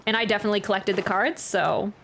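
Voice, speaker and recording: A woman's voice: medium pitch, fairly even intonation, fast talker, creaky, a bit bright, a little hiss or hum. A woman giving casual gameplay commentary, chatty and rambling, often interrupting herself mid-sentence. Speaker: streamer reacting to a video game.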